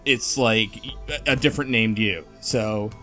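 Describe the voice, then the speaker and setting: A man's voice: lowish voice, unhurried, fairly bright tone. A man chatting in a rambling, informal way as a podcast panelist recapping a story. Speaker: book club podcast panelist. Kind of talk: casual chat recapping a game's story. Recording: music playing behind the talk. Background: music.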